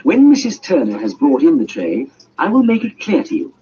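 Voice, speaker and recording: A man voicing a brisk, confident Victorian gentleman detective in a storybook. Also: fairly high pitch, wildly swooping pitch. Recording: muffled, noisy amateur audiobook recording.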